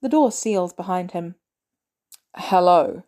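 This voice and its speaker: A woman reading scripted fiction as an audiobook narrator, with expressive delivery. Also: medium pitch, normal speed.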